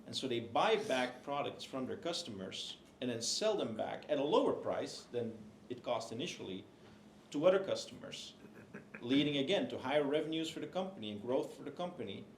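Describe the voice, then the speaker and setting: A man's voice: medium pitch, very animated, fairly quick. Man speaking on a panel in an echoey hall. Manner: hesitant, many fillers and restarts. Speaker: book co-author on a panel. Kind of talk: panel discussion. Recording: echoey hall, faint noise.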